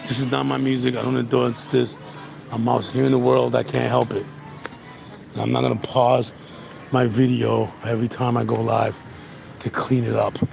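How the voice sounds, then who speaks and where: A man with a hoarse voice walking through town and chatting casually about the street and the places around him as he films. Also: mid-range voice, level tone, normal speed. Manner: rambling, laid-back, chatty tour commentary. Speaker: vlogger. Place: outdoors on a busy town street. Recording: outdoor street recording with music. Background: music from restaurants.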